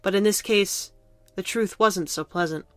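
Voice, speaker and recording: A woman's voice: mid-range voice, normal speed, dry recording, faint music behind. A woman narrator reading scripted narration for a fantasy audio drama.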